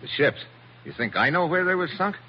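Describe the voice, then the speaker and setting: An actor playing a tough, wisecracking American special agent in a hard-boiled 1940s radio adventure. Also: male voice, fairly high pitch, sing-song, brisk. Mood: tense, defiant. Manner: wry, hard-boiled, casual. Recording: dull, noisy old radio recording.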